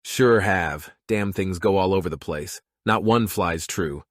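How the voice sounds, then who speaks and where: A man's voice: deep voice, measured pace, dry recording, studio quality. A man playing a cursing, belligerent fighter pilot lieutenant in an audiobook, blunt, scornful and accusatory. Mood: disgruntled, accusing. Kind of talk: audiobook character acting.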